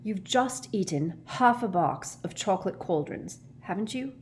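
A woman's voice: mid-range voice, animated, measured pace, darkish tone, a little hiss or hum, lightly acted. Woman playing a baffled, exasperated teenage boy. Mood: puzzled. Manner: incredulous, blunt, exasperated questioning. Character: sensible teenage boy, baffled and impatient with friend. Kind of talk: dramatised storybook reading.

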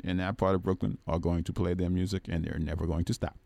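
A man talks as a musician guest on a radio show, rambling loosely and laughing often. His voice sits very low. The studio sound is dry and close. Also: flat delivery, fast, gravelly, dark tone, dry recording. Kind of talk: casual radio chat. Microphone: studio microphone.

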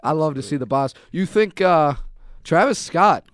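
A young man with a slightly high, smooth voice chats casually as a sports radio co-host. The sound is dry and close, like a studio mic. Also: expressive, moderate pace, no echo.

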